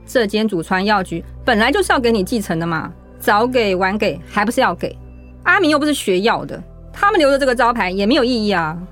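A woman playing a resentful, sharp-tongued daughter-in-law in a radio drama, complaining and argumentative. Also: mid-range voice, expressive, a little fast, faint music behind, natural voice. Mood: pressing.

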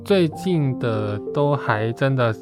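A man's voice: mid-range voice, animated, slow pace, over music. A man from Hong Kong with a Hong Kong accent, talking modestly and a little hesitantly as an interview guest. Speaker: guest, comic artist. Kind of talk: casual interview talk.

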